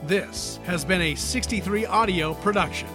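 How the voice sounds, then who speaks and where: A man's voice: high voice, moderate pace. An American man working as announcer, reading scripted narration for an old-time style radio serial. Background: loud music.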